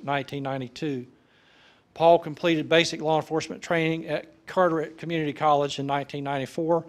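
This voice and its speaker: An older man, speaking at a public ceremony in a folksy way as the presiding official. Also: fairly high pitch. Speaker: official presenting a promotion and administering an oath. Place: large indoor council chamber with audience. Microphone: stand microphone, sometimes stepping away.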